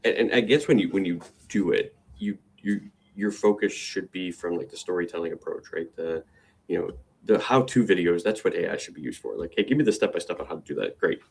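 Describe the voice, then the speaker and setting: A man's voice: deep voice, a little fast, creaky, a little mellow, husky. A man co-hosting a business podcast, chatting in an upbeat, loose way with lots of fillers and restarts. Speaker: podcast co-host. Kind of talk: casual chat.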